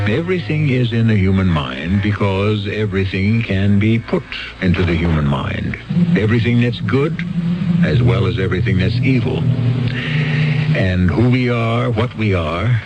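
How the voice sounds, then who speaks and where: A middle-aged man hosting and narrating a radio drama in grave, measured, rhetorical tones. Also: fairly low pitch, poor audio. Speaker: host and narrator.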